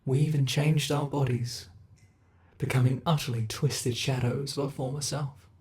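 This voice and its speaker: A man voicing a gravelly ancient bed monster. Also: medium pitch, steady tone, a little mellow, natural voice. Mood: brooding. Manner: slow, brooding, intimate monologue to the listener. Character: ancient immortal male monster under the bed, weary and menacing. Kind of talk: ASMR horror roleplay.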